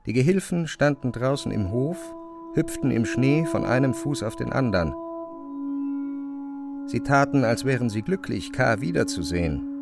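A male narrator tells a literary story in a middle-register voice. His delivery is measured and deliberate, and music plays clearly underneath. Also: medium pitch, animated.

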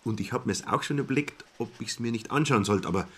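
Actor as a husky, smug small-time businessman. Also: mid-range voice, fast, natural voice. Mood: wary. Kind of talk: literary radio drama dialogue.

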